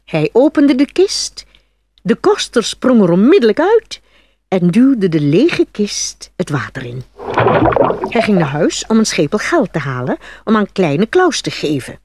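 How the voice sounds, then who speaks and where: Animated woman narrating a fairy tale. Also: mid-range voice, unhurried, a little hiss or hum.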